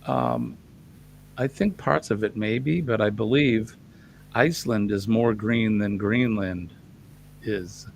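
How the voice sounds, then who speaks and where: A man's voice: on the low side, animated, takes it slowly, gravelly, a little hiss or hum. A man from the United States co-hosting a casual live chat, laid-back and hesitant, with lots of ums and uhs. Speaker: co-host.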